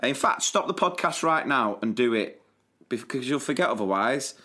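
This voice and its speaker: A man's voice: mid-range voice, moderate pace. A man with a mid-range voice, talking easily and casually as a podcast co-host. He plugs the show and keeps the conversation moving. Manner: relaxed, promotional plugs. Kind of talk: casual chat.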